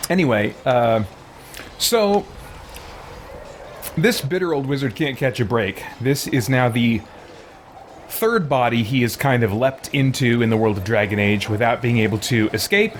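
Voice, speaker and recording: A man with a mid-range voice hosts a game livestream in an informal, chatty way. He rambles and thinks out loud as he talks. Clear noise sits behind his voice. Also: medium pitch, a little slow, long pauses. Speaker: live streamer hosting a game playthrough. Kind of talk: casual livestream chat.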